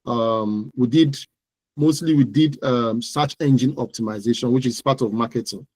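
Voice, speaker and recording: A man's voice: medium pitch, normal speed, darkish tone, dry recording. Nigerian man explaining things, dry close sound. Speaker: interview guest, digital marketing entrepreneur. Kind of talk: conversational interview about own business.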